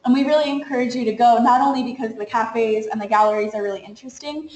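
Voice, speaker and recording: A woman's voice: on the high side, steady tone, fast, darkish tone, a little hiss or hum. Upbeat woman presenting in an echoey room. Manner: upbeat, explanatory walk-through of slides. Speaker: study abroad program coordinator. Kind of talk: webinar presentation.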